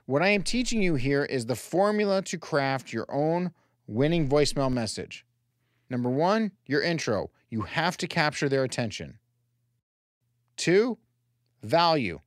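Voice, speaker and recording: Animated male sales trainer, dry sound. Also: a little high, no room sound. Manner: emphatic, direct address with rhetorical questions. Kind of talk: scripted instructional narration for a sales training video. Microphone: close voice-over microphone.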